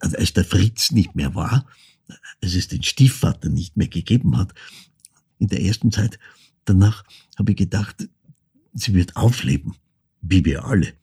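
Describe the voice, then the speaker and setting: Casting: a reflective older Austrian man, very husky, halting delivery, for a dramatic monologue. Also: deep voice, animated, heavily acted. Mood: pensive, subdued. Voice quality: gravelly, husky. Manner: halting, deliberate, hushed, broken phrasing. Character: reflective ageing man recounting his wartime childhood. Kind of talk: literary monologue, dramatic storytelling.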